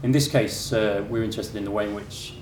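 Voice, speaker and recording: A man giving an academic conference talk, halting with many fillers and restarts, in a lecture room. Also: lowish voice, steady tone. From England. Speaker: academic speaker. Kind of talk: conference presentation. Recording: echoey room from far off with faint noise.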